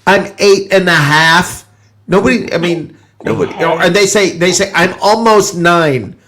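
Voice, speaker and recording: High-voiced man, opinionated sports host. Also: normal speed, noisy background. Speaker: sports talk show host. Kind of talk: casual football banter.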